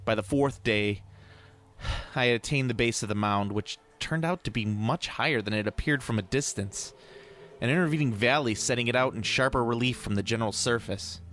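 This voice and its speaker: A man reading a horror tale aloud as a dramatic audiobook narrator, in a deliberate, theatrical storytelling manner. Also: medium pitch, fairly bright tone. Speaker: podcast host reading a story. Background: ambient soundscape.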